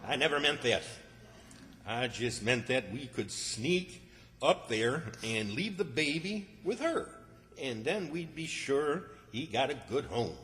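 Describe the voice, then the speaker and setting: A man's voice: medium pitch, expressive, slow, sharp tone. A man playing an exasperated, scheming country fellow in old-time radio comedy style, with a folksy, fussy manner. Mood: scheming, hushed. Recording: reverberant hall stage recording.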